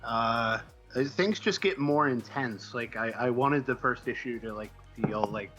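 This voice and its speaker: A man speaking as a guest writer in a casual interview, answering in a hesitant, halting way with trailing asides. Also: medium pitch, fairly bright tone, dull audio, soft background music. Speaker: comic book writer, guest.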